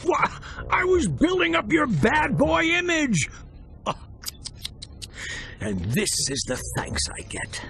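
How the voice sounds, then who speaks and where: A man's voice: extremely high pitch, wildly swooping pitch, slow pace, creaky, pauses often, background noise, full character voice. A man voicing a greedy, scheming alien media mogul villain in a video game, with bombastic, theatrical sales patter. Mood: scheming, boastful. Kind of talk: video game cutscene acting. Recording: loud music behind the voice.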